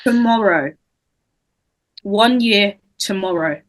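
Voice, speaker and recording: A woman's voice: medium pitch, slow, close to an everyday voice, rough recording. A woman playing a traumatised, grieving teenage girl, guarded but defiant, in an amateur script read. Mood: uneasy. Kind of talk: amateur screenplay table read.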